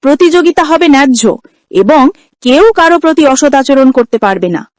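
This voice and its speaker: A woman's voice: sits high, lively intonation, normal speed, no room sound, clean studio sound. A woman voicing an authoritative, fair-minded older mother-in-law in a cartoon story, firm and instructive. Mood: firm, commanding.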